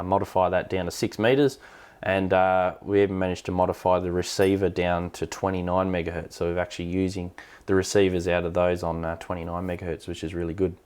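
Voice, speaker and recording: An Australian man hosting a casual technical question-and-answer stream, explaining things hesitantly as he thinks aloud. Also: deep voice. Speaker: live stream host, amateur radio operator.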